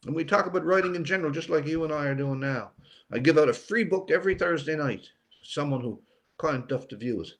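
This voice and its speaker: A man's voice: a little high, fast talker. An older man, an author talking plainly in short, emphatic phrases in an interview. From Newfoundland, Canada. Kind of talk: conversational interview.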